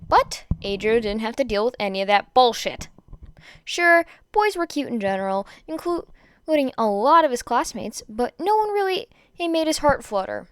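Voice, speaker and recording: A woman reading fan fiction aloud in an informal, expressive way, stumbling over words now and then. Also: fairly high pitch, moderate pace, smooth, no echo. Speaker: podfic reader. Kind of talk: amateur fan fiction reading with chatty asides.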